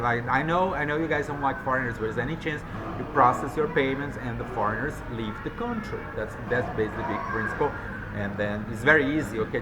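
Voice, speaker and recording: A man talks on and on in a loose, halting monologue, often restarting and repeating words. His voice sits at an ordinary pitch. Vehicle noise and street ambience are clearly audible behind him. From Argentina. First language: not Spanish. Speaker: vlogger. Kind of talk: rambling monologue.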